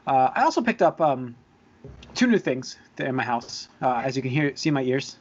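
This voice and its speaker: A man's voice: medium pitch, big pitch swings, moderate pace, highs cut off, a little hiss or hum. A man hosting a podcast in casual chat, rambling and easily sidetracked as he talks. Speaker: podcast host.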